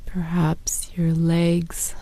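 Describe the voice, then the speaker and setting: A woman guiding a sleep meditation in a soft, partly whispered voice. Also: fairly low pitch, completely flat delivery, very slow, dry recording. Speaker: meditation guide.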